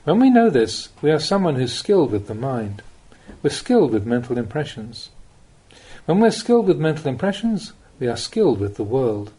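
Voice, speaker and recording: Measured man reading teachings, lively intonation. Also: medium pitch, husky. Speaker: Buddhist monk reading recorded teachings. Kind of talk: audiobook reading of a Dhamma talk.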